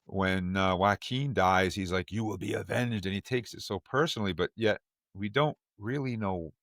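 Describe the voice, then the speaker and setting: A man speaks as a podcast host in casual fan discussion. His voice sits fairly low and he is enthusiastic, doubling words for emphasis. The recording is close and dry. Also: normal speed, fairly bright tone, no room sound.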